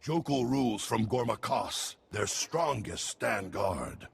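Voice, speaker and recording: A man's voice: lowish voice, lively intonation, takes it slowly, vocal fry, husky, heavily acted. A man voicing a stern, formal alien commando leader in a video game, speaking in clipped, solemn declarations. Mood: commanding.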